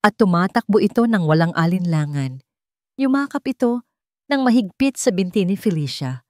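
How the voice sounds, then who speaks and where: A woman narrating a scripted love story in a dramatic, measured storytelling manner. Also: mid-range voice, animated, a little mellow, dry recording, clean studio sound.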